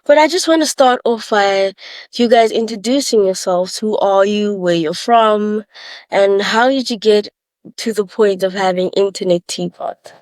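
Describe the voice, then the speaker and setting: A woman's voice: mid-range voice, a little slow, a little mellow, no echo. A woman hosting a podcast interview, with an upbeat, scripted-sounding intro. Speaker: podcast host.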